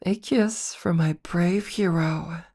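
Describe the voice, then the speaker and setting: Woman acting a breathy, slow, tender young girlfriend. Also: lowish voice, warm tone. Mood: tender, relieved. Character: young college woman restored to full size, affectionate. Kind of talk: soft ASMR audio roleplay.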